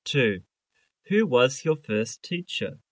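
A man reading scripted English lesson sentences for a listening test, in a measured, carefully enunciated way. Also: medium pitch, sing-song, no echo. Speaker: exam listening test reader.

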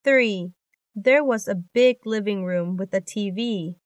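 A woman reading scripted English lesson sentences for a listening test, in a measured, carefully enunciated manner. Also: mid-range voice, no echo, clean studio sound. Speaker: exam listening test reader.

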